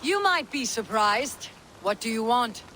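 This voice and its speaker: An actress playing a stoic, proud Norse warrior woman in a video game, speaking in a terse, firm, measured way. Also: fairly high pitch, big pitch swings, bright, forceful tone, a little hiss or hum, exaggerated voice. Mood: challenging.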